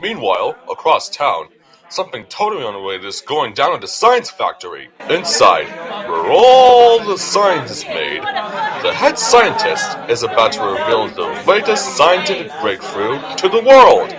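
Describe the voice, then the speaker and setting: A man's voice: medium pitch, wildly swooping pitch, a little slow, crowd noise, low-quality recording. A man playing a dramatic story narrator, giving scripted narration for a cartoon fandub.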